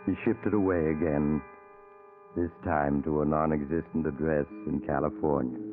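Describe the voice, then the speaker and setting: Very deep, ominous radio storyteller. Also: male voice, steady tone, normal speed. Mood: ominous, measured. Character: ominous, knowing mysterious male storyteller. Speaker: narrator. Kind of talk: dramatic 1940s radio mystery narration. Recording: tinny 1940s radio recording. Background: music.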